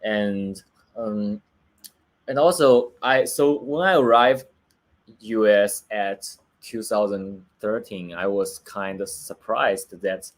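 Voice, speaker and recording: A man with a Chinese accent speaks slowly and haltingly, often restarting and hunting for words. His voice sits fairly low. He talks over a video call. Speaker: film director. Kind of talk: remote interview.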